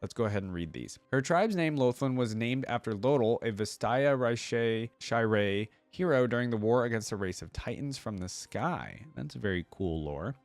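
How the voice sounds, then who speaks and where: A man's voice: mid-range voice, no room sound. A man hosting a reaction video, reading lore aloud in a casual way with chatty, musing asides. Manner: chatty, musing asides between read passages.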